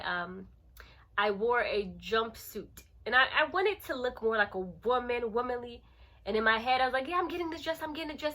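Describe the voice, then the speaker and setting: A thirty-year-old woman chatting casually to her channel as host, in an animated, playful and emphatic way, repeating phrases for effect. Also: mid-range voice. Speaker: lifestyle vlogger and channel host. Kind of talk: casual chatty vlog to camera.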